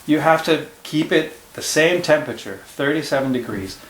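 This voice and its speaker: A man's voice: a little high, animated, normal speed, reverberant, a little hiss or hum, poor audio. A man teaching at home, explaining a storybook lesson to a child patiently and step by step. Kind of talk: casual classroom discussion.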